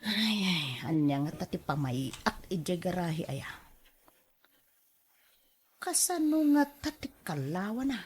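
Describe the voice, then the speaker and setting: A woman's voice: on the low side, sing-song, slow pace, pauses often, slightly rough, heavily acted. A woman acting as a restless, nagging wife in a radio drama, full of sighs and exclamations. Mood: restless, fretful. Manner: exclamatory, sighing, querulous. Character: restless, nagging wife fretting and bickering with her husband.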